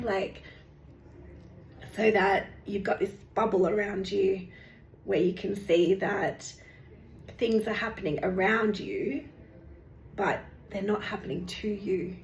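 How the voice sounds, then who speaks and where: A woman, hosting a casual vlog update, rambling and chatty with lots of fillers and restarts, her voice going hoarse. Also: medium pitch, darkish tone. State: losing her voice. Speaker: meditation teacher and channel host. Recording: echoey room with faint background noise.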